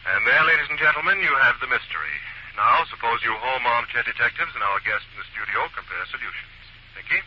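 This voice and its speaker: An actor with an American accent playing an urbane, confident, teasing amateur detective in a 1940s radio drama. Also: male voice, mid-range voice, lively intonation, fast, natural voice. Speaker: lead detective and quiz host. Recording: muffled, noisy old radio recording.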